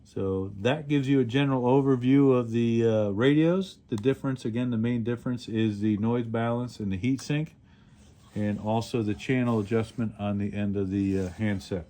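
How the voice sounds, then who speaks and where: A man hosting a casual product demonstration, explaining things step by step in a plain-spoken, halting way. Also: mid-range voice, dark tone. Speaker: radio reviewer and host.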